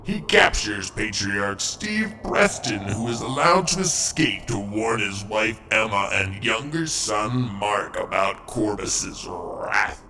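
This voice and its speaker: A man's voice: on the low side, lively intonation, slow, bright, forceful tone, recorded from a distance, slight background noise. A man hosting a mock game show with scripted comic narration, in a theatrical, exaggerated announcer style.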